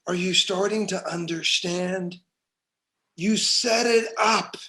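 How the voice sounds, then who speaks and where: A man's voice: high voice, takes it slowly, pushed and bright, full character voice. A man playing a hard, scornful police detective in a drama table read, accusatory and sarcastic. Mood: pressing, accusing.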